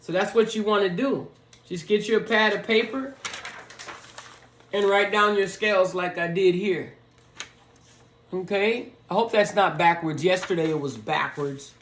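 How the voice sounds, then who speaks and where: Slow, raspy-voiced piano teacher, roomy. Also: a little hiss or hum. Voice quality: low, deep, raspy voice. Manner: slow, talks through each step, sings scale notes on "ho". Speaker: piano learner teaching beginners. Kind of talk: casual step-by-step music lesson. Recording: roomy echo with piano playing.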